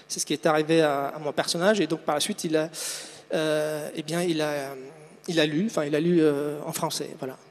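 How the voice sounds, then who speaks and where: A man from Tunisia with a fairly high voice speaks as a guest author on a panel. He talks haltingly, circling back over words, in a hall with long reverb. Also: fairly even intonation. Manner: hesitant, halting, repeating words. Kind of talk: spontaneous answers at a public book panel. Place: large hall at a book fair.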